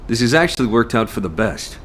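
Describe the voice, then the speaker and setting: A man's voice: mid-range voice, very animated, fairly quick, fairly bright tone, slight background noise. A man playing a determined, battle-hardened soldier in a video game, speaking in a terse, resolute, commanding way. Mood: bitter, resolute. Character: determined, battle-hardened male soldier leading a covert mission.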